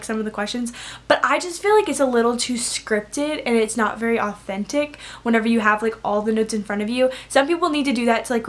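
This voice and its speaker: A woman's voice: fairly high pitch, fairly bright tone. A young woman vlogger sharing tips in a casual, rambling, chatty storytime style, with lots of "like" in her speech. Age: young adult. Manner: rambling, informal, heavy use of "like". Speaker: vlogger giving interview tips.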